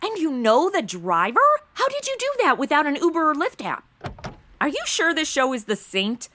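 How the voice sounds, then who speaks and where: A woman acts a young American valley-girl type in a comedy radio play. The voice is high and swoops around, blunt, casual and easily exasperated. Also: sing-song, moderate pace, sharp tone, a little hiss or hum, exaggerated voice. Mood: puzzled. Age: young adult. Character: flighty, slangy young modern woman, easily rattled. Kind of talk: comedy audio drama acting.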